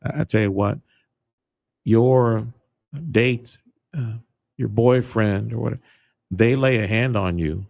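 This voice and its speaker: A man hosting a radio talk show, speaking in a relaxed, folksy way with stumbling restarts. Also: lowish voice, slow, gravelly, long pauses, no room sound, studio quality. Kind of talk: casual radio interview. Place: radio studio. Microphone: studio microphone.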